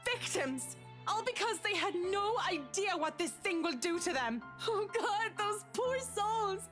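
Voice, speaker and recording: A woman voice-acting a grim, forceful woman in a visual novel game, speaking with dramatic emphasis. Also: very high-pitched, lively intonation, a little slow, soft background music, exaggerated voice. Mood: haunted, urgent.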